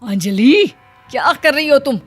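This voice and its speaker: A woman's voice: high-pitched, lively intonation, a little slow, no echo, faint music behind. A woman playing a scolding, taunting middle-aged mother in a moral story, with a nagging, exclamatory way of talking. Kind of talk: moral story cartoon dialogue.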